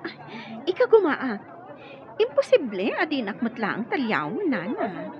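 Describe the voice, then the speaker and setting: Cheeky, high-pitched young confidante. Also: female voice, huge pitch swings, a little slow, lo-fi sound. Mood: eager. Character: spirited, cheeky young woman, mother's confidante. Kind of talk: radio drama acting.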